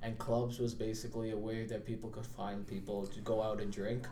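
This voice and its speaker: A man's voice: fairly low pitch, little pitch movement, a little fast, dark tone. A young man talks loosely and casually as a student guest on a podcast, with frequent swearing. His voice rings in a roomy space, with some noise behind it. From California and India. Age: young adult. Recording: roomy echo, clear background noise.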